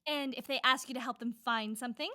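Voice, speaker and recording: A woman's voice: high-pitched, fast talker, noisy background, pitched below the performer's own voice, natural voice. A woman voicing a caring, patient mother coaching her young child in a children's fairy tale.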